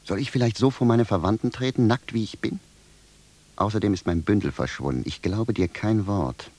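An actor in a radio play playing a gruff, stubborn shoemaker, blunt and grumbling in his way of talking. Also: fairly low pitch, normal speed, a little mellow. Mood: indignant. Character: gruff, stubborn, exasperated naked shoemaker raised from the dead. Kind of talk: German radio play acting.

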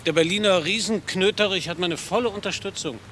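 An actor playing an earnest American radio reporter, polite and a little hesitant, stammering now and then. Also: male voice, high voice, brisk, pushed and bright, a little hiss or hum. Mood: wry. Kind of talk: 1980s radio play acting.

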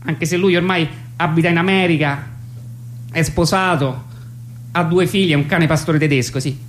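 An actress playing a brash, self-absorbed young poll interviewer who rambles and argues sarcastically in a comic radio play. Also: female voice, sits low, moderate pace, slight background noise, natural voice. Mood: heartbroken.

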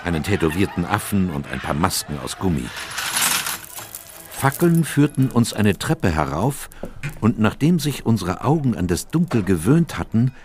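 A male actor playing a gentlemanly doctor who narrates detective adventures in a radio play, in a measured storytelling manner. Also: deep voice, sing-song, slight background noise. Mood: measured, suspenseful. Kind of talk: scripted first-person narration in radio play.

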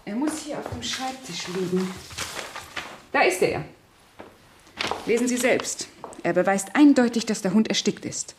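An actress playing a cold, scheming murderess, controlled and imperious, in a 1970s German radio crime drama. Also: female voice, a little high, animated, a little slow, long pauses, noisy background. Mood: cold, calculating. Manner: controlled, imperious, coolly persuasive.

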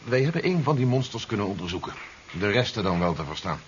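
Earnest, formal male investigator for vintage radio sci-fi; animated intonation, slightly noisy tape. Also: on the low side, fast. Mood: earnest, urgent. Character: earnest male investigator warning of an alien invasion. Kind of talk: 1970s Dutch radio drama acting. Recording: dull, noisy 1970s radio recording.

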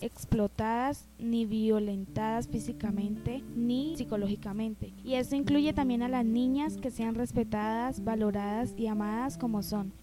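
A woman's voice: on the high side, lightly acted, rough recording. A woman playing an outspoken, bookish young feminist in a radio drama, articulate, with a Colombian accent. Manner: articulate, explanatory.

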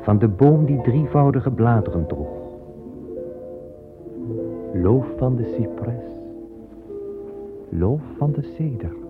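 Solemn slow-spoken male narrator. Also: mid-range voice, lively intonation. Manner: solemn, measured, incantatory. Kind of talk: solemn scripted radio narration. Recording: tinny old radio recording with music.

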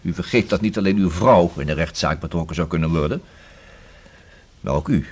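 Low-voiced, formal Dutch police inspector. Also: male voice, husky. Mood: polite, probing. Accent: Netherlands Dutch. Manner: formal, courteous, measured and insistent. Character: polite, persistent male police inspector. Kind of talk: radio play acting.

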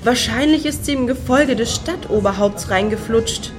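An actress playing a wry, self-indulgent clubgoer in a comic radio play, talking articulately and with irony. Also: female voice, fairly high pitch, moderate pace, close to an everyday voice. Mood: sardonic. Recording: music behind the voice.

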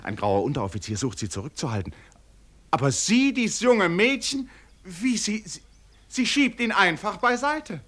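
Excitable, husky eyewitness narrator. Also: male voice, high-pitched, normal speed, full character voice. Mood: astonished, indignant. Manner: breathless exclamations, repeats himself, theatrical. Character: flustered, excitable male eyewitness narrator at a parade. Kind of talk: live-commentary narration in a radio play.

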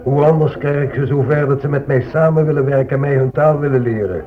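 A man's voice: medium pitch, steady tone, fast, faint music behind, close to an everyday voice. Man as callous missionary, tinny radio sound. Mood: indignant self-justification. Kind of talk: radio drama acting. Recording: tinny phone-like old radio recording.

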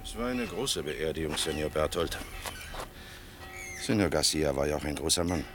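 An actor playing a grave, world-weary older male official in a German radio play, speaking with measured, bitter irony. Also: very deep voice, sing-song, vocal fry, over music, exaggerated voice. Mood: solemn irony. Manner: measured, sardonic, rhetorical.

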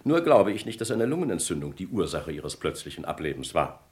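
Low voiced posh gent in a radio play, suspicious, emphatic, voice moves around a lot. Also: male voice, sing-song, fairly quick, a little mellow. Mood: suspicious, insistent. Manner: formal, pointed, emphatic. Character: suspicious, insistent gentleman reporting a friend's death. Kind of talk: German crime radio play acting.

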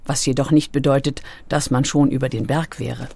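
A woman reading scripted documentary narration in a composed, clearly articulated manner. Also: a bass voice, steady tone, normal speed, dark tone, dry recording. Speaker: audiobook narrator.

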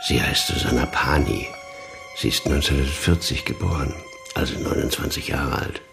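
An actor playing an exhausted, grumbling senior police commissioner in a German radio crime drama, with expressive delivery. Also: male voice, extremely low pitch, moderate pace, creaky. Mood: weary. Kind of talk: German radio play acting. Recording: music under the voice.

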